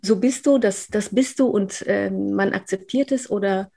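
Polish-accented woman, slightly husky voice. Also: medium pitch, moderate pace, warm tone, soft background music. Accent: Polish, rolled R. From Poland. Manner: candid storytelling with many fillers and restarts. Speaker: interview guest, alumna. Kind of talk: casual interview talk.

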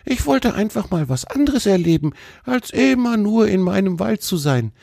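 A man voicing a cheerful, chatty little male woodpecker for a children's storybook, in a creaky, squeezed voice with bubbly, colloquial delivery. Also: high-pitched, big pitch swings, moderate pace, warm tone, no echo, studio quality, voice pushed up, exaggerated voice. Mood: chatty, enthusiastic.

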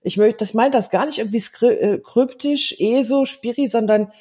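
A woman's voice: a little high, moderate pace, warm tone, husky. Thoughtful German woman in her fifties. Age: 50s. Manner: earnest, thoughtful, hesitant with fillers. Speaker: guest. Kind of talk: casual conversation.

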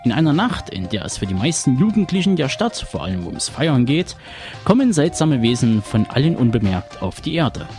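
A man's voice: mid-range voice, very animated, moderate pace, darkish tone. Male radio presenter reading scripted segments, mid-range voice over faint music. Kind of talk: scripted narration.

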